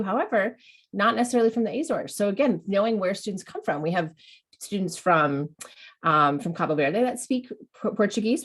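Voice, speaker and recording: Woman with a lowish voice running a teacher webinar, encouraging, restarts her sentences a lot. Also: slightly rough. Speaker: webinar host. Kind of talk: educational webinar presentation.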